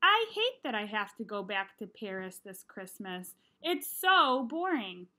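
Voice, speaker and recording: A woman's voice: medium pitch, sing-song, unhurried, bright, forceful tone. A woman reading the part of a bored, scornful rich schoolboy from a children's novel, sarcastic and slangy. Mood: bored, whiny. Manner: sarcastic, dismissive.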